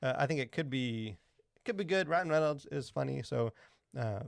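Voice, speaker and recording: A man, probably in his thirties, co-hosting a podcast with casual, rambling, halting talk full of filler words. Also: mid-range voice, expressive, gravelly, no echo, studio quality. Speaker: podcast co-host.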